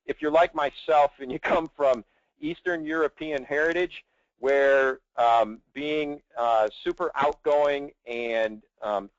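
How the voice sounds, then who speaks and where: A man's voice: fairly high pitch, no room sound. A middle-aged man, a recruiter, giving conversational career advice in an emphatic, persuasive way. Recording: dull, band-limited audio.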